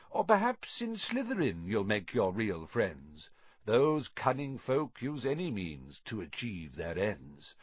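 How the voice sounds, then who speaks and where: A man's voice: mid-range voice, dry recording. A man voicing an old magical talking hat that recites in rhyming sing-song verse. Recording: dull muffled audio.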